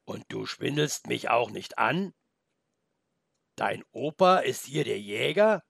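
A man's voice: medium pitch, animated, gravelly, a bit bright, husky, no room sound, studio quality, exaggerated voice. A man growling as a greedy storybook wolf. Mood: puzzled. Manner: growling, slow and threatening. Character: menacing big male wolf, greedy. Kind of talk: storybook reading.

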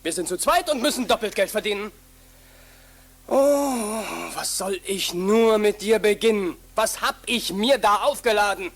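A man's voice: sits high, a little slow, fairly bright tone. An actor playing a brash, bossy male beggar musician in a fairy-tale radio play. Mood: scolding. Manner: cheeky, commanding, mocking.

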